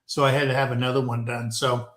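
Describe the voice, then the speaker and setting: A man's voice: medium pitch, flat delivery, fast talker, a bit bright. A man in his sixties co-hosting a casual livestream chat, telling rambling stories with jokes. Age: 60s. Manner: joking, rambling storyteller. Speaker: co-host of a livestream chat show. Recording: echoey room.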